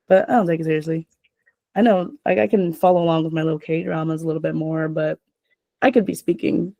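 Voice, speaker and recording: A woman's voice: on the low side, darkish tone, dry recording. A young adult woman hosting a casual chat, rambling with lots of filler words.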